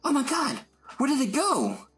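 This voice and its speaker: A man voicing an excitable young woman who livestreams, squealing with breathless excitement. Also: very high-pitched, moderate pace, breathy edge, soft background music, pitched above the performer's own voice, exaggerated voice. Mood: excited.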